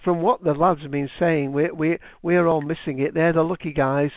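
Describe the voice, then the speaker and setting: A man's voice: on the high side, steady tone, normal speed, dry recording. A British man co-hosting a podcast, chatting casually and laughing often. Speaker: podcast co-host. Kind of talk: casual chat over a call. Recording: tinny phone-like line.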